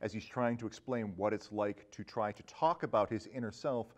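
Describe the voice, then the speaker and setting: A man's voice: on the low side, normal speed. A man hosting a casual interview show, speaking conversationally to his guest. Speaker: podcast host.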